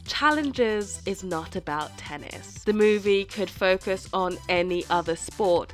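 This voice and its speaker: A woman with a slightly hoarse voice from a cold, narrating a scripted film video essay with wry, playful asides. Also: medium pitch.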